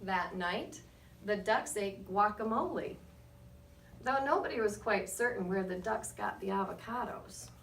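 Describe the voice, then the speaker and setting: A woman reading a picture book aloud to children as a guest storyteller, with expressive, measured delivery and short asides to her listeners. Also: mid-range voice. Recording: roomy, distant mic, faint background noise.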